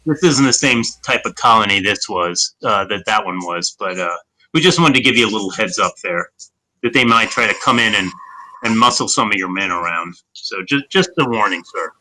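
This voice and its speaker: A man playing a polite marshal investigator in a tabletop game, informal and halting as he talks. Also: lowish voice, expressive, pushed and bright, low-quality recording. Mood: cautious, polite.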